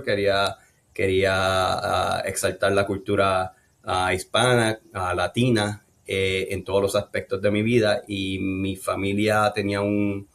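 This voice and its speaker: A middle-aged man with a Puerto Rican accent, a guest telling his story in an interview, unhurried and thoughtful, pausing often on "eh" and "ah". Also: on the low side. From Colombia, raised in Puerto Rico. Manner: unhurried and thoughtful, with frequent "eh" and "ah" fillers and restarts. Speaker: guest neurologist.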